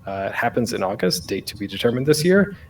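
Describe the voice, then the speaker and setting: A man's voice: medium pitch, very animated, quick pace, a little hiss or hum. A man presenting a casual online panel as a podcaster, speaking off the cuff in a rambling, self-deprecating, jokey way.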